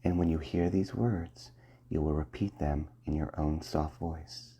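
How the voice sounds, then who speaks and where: A man giving a slow, soft and commanding hypnosis roleplay in the ASMR style, often dropping to a half-whisper. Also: very deep voice, steady tone, breathy edge.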